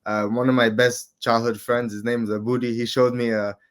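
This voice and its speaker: A young man in his twenties from Qatar chats as a podcast guest. He talks in a relaxed, loose way, filling gaps with ums and likes. His pitch sits in an ordinary middle range for a man. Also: a little fast. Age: 20s. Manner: relaxed, rambling, many fillers. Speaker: guest, amateur MMA fighter. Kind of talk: casual interview chat.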